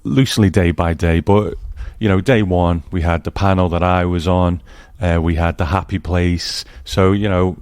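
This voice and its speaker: Male podcast host, very low voice, casual chat, close dry recording. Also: steady tone, moderate pace, hardly any pauses, darkish tone, clear, resonant tone, no echo.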